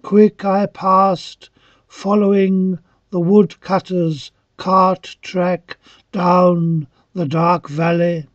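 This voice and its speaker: A man reciting dramatic poetry as an awed, devout young reveller of ancient Greece, solemn and declamatory in halting phrases. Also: high voice, level tone, dry recording. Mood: awed, breathless recounting. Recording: dull lo-fi, dry close recording.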